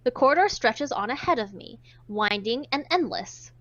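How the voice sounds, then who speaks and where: High-pitched, very animated woman reading aloud. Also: normal speed, no room sound, muffled recording. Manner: sarcastic, irreverent. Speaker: host reading a visual novel aloud. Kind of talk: dramatic read-aloud with casual joking asides.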